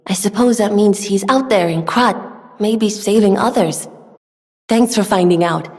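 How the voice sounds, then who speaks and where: A young woman voicing a gentle, earnest, polite weapons smith in a video game, soft-spoken and given to sighs. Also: mid-range voice, unhurried, natural voice. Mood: wistful, curious. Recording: long hall reverb.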